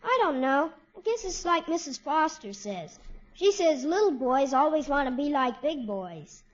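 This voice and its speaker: High-pitched, earnest little boy. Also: big pitch swings, a little slow. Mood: shy, sincere. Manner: guileless, plain-spoken, childlike. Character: small earnest little boy, frank, innocent and eager. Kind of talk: 1950s radio comedy acting. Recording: muffled vintage 1950s radio broadcast.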